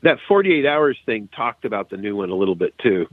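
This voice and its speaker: A man's voice: fairly low pitch, big pitch swings, a little fast, dry recording. A man chatting casually as a podcast guest over a tinny, narrow line. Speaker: podcast guest, video channel owner. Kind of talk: casual chat.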